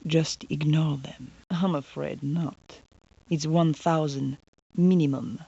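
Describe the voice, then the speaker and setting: Low, husky, languid woman. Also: steady tone, takes it slowly, dark tone, exaggerated voice. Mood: cool, languid. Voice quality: husky, gravelly. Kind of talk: video game character acting. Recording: dull low-fidelity game audio.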